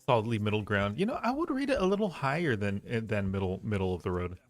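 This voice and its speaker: A low-pitched male livestream host chats casually in a playful, teasing way, often rambling and circling back over phrases. The sound is slightly roomy, with faint music playing behind him. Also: sing-song, fast. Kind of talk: casual livestream chat and ranking commentary.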